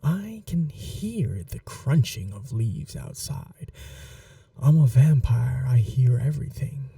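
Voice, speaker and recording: A woman playing an aristocratic, possessive vampire mistress in a teasing, quietly menacing, breathy, intimate ASMR roleplay, often dropping to a whisper. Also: very deep voice, animated, no room sound, studio quality, distant mic, heavily acted. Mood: possessive, brooding. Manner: slow, languid, condescendingly affectionate. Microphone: close microphone, dry.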